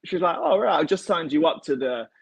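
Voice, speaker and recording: A man's voice: a little high, animated, fairly quick, vocal fry, slightly rough, no echo. A young British man chatting casually as an interview guest, telling his own story on a video call. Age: young adult. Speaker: guest, elite martial arts athlete. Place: home kitchen, indoors. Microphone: device mic on a video call.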